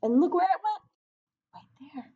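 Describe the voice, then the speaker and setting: A woman's voice: extremely high pitch, very animated, unhurried, dark tone, lo-fi sound. A woman reading a picture book aloud to young children, speaking expressively and putting questions to her listeners.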